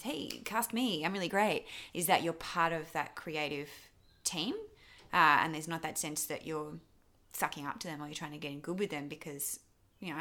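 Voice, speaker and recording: A young Australian woman speaks in a low register with plenty of vocal fry. She talks casually as an interview guest, candid and a little rambling, often qualifying or talking herself down. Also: fairly bright tone. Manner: candid, self-deprecating, rambling. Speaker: interview guest, actor and filmmaker.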